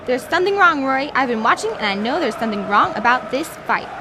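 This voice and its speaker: A woman's voice: high-pitched, lively intonation, quick pace. An actress playing a boxer's fearful, devoted girlfriend with an American accent, urgent and melodramatic in 1940s radio style. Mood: desperate pleading. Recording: noisy vintage 1940s radio recording.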